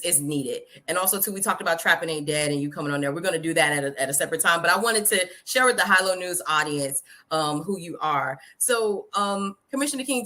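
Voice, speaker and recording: A woman's voice: lowish voice, pushed and bright. A woman news publisher hosting an interview, chatty and encouraging, with plenty of fillers.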